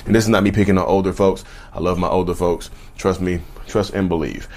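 Deep male voice, late thirties, casual vlog talk, colloquial, emphatic, repetitive phrasing. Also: fairly even intonation, a little fast, darkish tone. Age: late 30s. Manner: emphatic, repeats phrases for stress; colloquial, folksy address to listeners. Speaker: vlogger speaking about his own narcissism. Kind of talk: casual direct-to-viewer talk.

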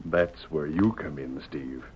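An actor playing a smug, menacing outlaw blackmailer with a sly, taunting American Western drawl in a radio western. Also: male voice, a bass voice, slow, a little mellow, slightly rough, heavily acted. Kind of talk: old-time radio western acting. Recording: dull old radio recording.

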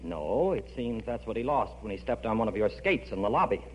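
A man's voice: fairly low pitch, very animated, fairly quick, darkish tone. An actor playing an exasperated, sarcastic middle-aged father in a 1940s radio comedy, dry and weary. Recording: muffled, hissy, humming old radio broadcast.

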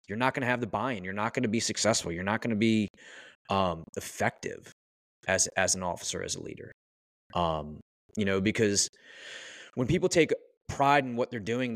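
A man's voice: lowish voice, fairly bright tone, no echo. A man giving opinionated, casual podcast talk as a guest, in a blunt, emphatic and colloquial way.

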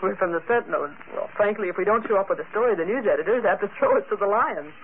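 An actress playing an anxious, insistent young newspaper reporter in 1940s radio style. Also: female voice, medium pitch, expressive, racing, a little hiss or hum, natural voice. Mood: polite. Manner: polite but insistent, nervy. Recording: tinny, thin old radio broadcast.

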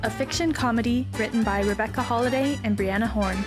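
A woman announces a show in a scripted introduction. Her voice is a little high, and loud music plays underneath. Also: fast talker. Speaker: credits announcer.

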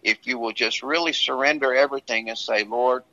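A man who is a pastor, talking earnestly and at a measured pace about his own story, often restarting his sentences. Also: medium pitch, bright, forceful tone. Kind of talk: casual talk sharing a testimony. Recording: dull lo-fi sound.

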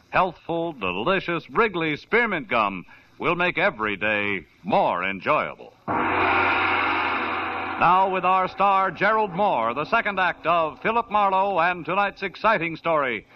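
Man, radio announcer, polished, lively pitch, dull old broadcast sound. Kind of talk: 1940s radio commercial and announcement.

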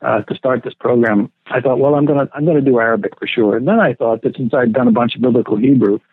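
An older man looks back on his past in an unhurried way, restarting his sentences and chuckling now and then. His voice sits in an ordinary middle pitch. The line is thin and phone-like, with a dry, close sound. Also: husky, no echo. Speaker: guest, theology professor. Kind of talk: relaxed reminiscing interview talk.

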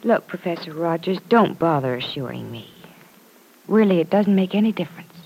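Gentle, forgiving young woman, low register, soft-spoken. Vintage radio drama, faintly noisy recording. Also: expressive, fairly quick. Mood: guarded, doubtful. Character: gentle, forgiving young single woman, guarded but kind. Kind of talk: old-time radio drama acting.

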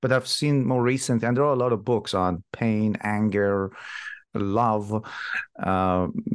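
A man's voice: fairly low pitch, steady tone, takes it slowly, smooth. A man whose first language is Persian, hosting a podcast interview and repeating words as he searches for phrasing. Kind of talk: conversational interview.

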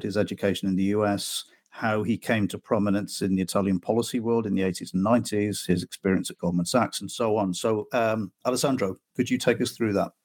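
A man's voice: lowish voice, darkish tone, no room sound, clean studio sound. A man hosting a podcast and interviewing book authors in a curious, conversational way. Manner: inquisitive, conversational.

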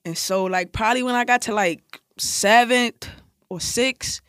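High-voiced Chicago man, slangy. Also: measured pace, fairly bright tone, smooth, dry recording, studio quality. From Chicago, United States. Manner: slangy, profane, loose and candid. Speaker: interview guest, music artist. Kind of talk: casual storytelling chat.

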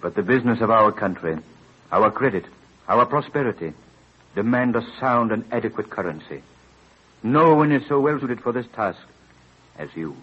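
A stately old-radio president, deep and husky, earnest and formal, dull old broadcast sound. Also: male voice. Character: dignified, earnest American president urging a reluctant man. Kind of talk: 1930s-40s radio drama acting.

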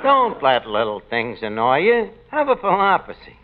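An actor playing a boastful tavern manager, talking in mangled, mock-grand grammar in a 1940s radio sitcom. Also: male voice, on the high side, wildly swooping pitch, normal speed. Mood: mock-sentimental. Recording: dull old radio broadcast with hiss.

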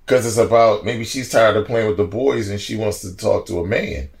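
A man in his late forties with a lowish voice tells stories on a podcast. He talks in a loose, slangy way and gets animated and laughing as the story builds. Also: moderate pace, creaky. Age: 40s. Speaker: podcast guest. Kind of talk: casual storytelling chat.

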